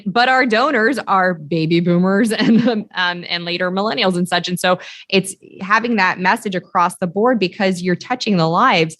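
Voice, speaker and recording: A woman hosting a podcast interview, explaining things in a steady, smooth way. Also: fairly low pitch, no echo, clean studio sound. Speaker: podcast host. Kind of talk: conversational interview.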